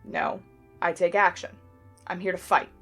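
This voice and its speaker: A combative young fighter who is blunt and cocky and loves to needle people, as a woman acts her. Her voice sits a little low, with faint music under it. It is a fan audio drama. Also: steady tone, normal speed, gravelly, fairly bright tone. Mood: brash.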